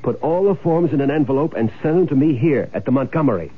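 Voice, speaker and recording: A man's voice: medium pitch, lively intonation, fast, natural voice. Man acting a bossy radio-drama schemer, dramatic swooping delivery. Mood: commanding, scheming. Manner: domineering, impatient, barking orders. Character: scheming, bossy, greedy husband running an insurance swindle. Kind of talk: old-time radio drama acting, first-person noir narration. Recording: muffled old radio broadcast, music behind.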